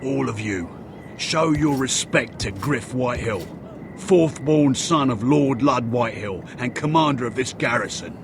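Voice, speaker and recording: An actor playing an obedient, menacing soldier in a fantasy game, speaking with a formal, announcing manner. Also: male voice, expressive, unhurried. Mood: stern, deferential. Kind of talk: dramatic video game acting. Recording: clear rumbling background noise.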